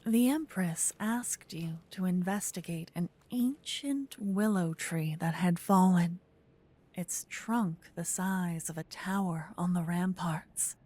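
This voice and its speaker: A woman softly narrating a scripted fantasy ASMR story, breathy and often close to a whisper on a binaural mic. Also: lowish voice, slow. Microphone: close binaural microphone.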